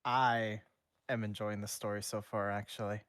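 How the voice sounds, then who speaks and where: A man's voice: lowish voice, little pitch movement, moderate pace, a bit bright, smooth, no echo. A man streaming a fanfic reading, chatting casually with loose restarts and swearing, on a close desk mic. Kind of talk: casual live reading and chat.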